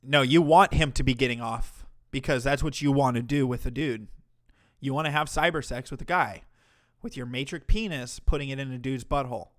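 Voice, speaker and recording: A man's voice: medium pitch, brisk, a bit bright. A man hosting a livestream, reacting to a clip with mocking, profane commentary. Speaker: commentary streamer host.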